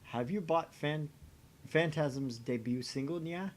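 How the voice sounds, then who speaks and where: A man's voice: a little high, slow pace, a little hiss or hum, voice pushed up. A man voicing a perky, coy catgirl maid from a visual novel, with cutesy cat-like verbal tics. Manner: cutesy, ends phrases with a cat-like tic.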